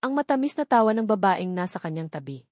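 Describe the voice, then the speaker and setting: A woman reading a romantic drama aloud as its narrator, earnest and measured. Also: medium pitch, very animated, darkish tone, no room sound, clean studio sound. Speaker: storyteller.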